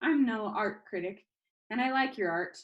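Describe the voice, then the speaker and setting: A woman reading aloud the part of a kindly but firm old grandfather in a storybook, plain-spoken and gently insistent. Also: a little high, normal speed, muffled recording, lightly acted. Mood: encouraging.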